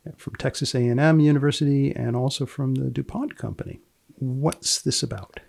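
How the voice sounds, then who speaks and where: A man hosting a research podcast, putting questions in a deliberate, deadpan way with a husky, gravelly voice. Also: medium pitch. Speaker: podcast host and magazine editor. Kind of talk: podcast interview about research papers.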